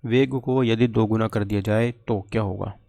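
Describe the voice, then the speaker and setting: Guy teacher going thru exam questions, soft mellow tone, asks then answers himself. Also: on the low side, fairly even intonation, moderate pace, gravelly. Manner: conversational, asks and answers his own questions. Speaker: physics teacher, exam coach. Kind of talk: exam question walkthrough lesson.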